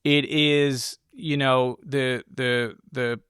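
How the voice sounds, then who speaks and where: Male podcast co-host, middle pitch, dry sound, informal and excitable film chat. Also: extremely slow, smooth, no room sound. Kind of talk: casual film discussion.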